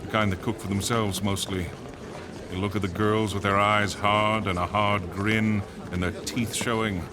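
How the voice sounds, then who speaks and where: An actor playing a tense, solemn radio announcer, giving measured, vivid live commentary on a crowded plaza in a verse drama. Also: male voice, fairly low pitch, level tone, noisy background, close to an everyday voice. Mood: expectant, observant. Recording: clear outdoor crowd and street ambience behind.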